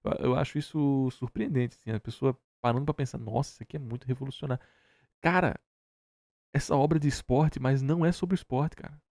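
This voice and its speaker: A man's voice: mid-range voice, brisk, a little mellow, no echo, clean studio sound. A Brazilian man co-hosting a reaction show, commenting casually in a sarcastic, argumentative and emphatic way.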